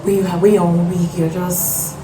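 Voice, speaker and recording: A young Nigerian woman with a Nigerian accent, hosting a casual chat, outspoken and animated, slipping into Pidgin phrases. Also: lowish voice, normal speed, noisy background. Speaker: vlogger host. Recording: roomy sound with music behind.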